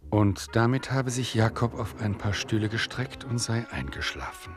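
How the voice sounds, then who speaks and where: An actor playing a teasing, argumentative storyteller who addresses his listener, with a husky voice, in a radio play. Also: fairly low pitch, animated, normal speed, over music, lightly acted. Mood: wry, narrating. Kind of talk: scripted radio play narration.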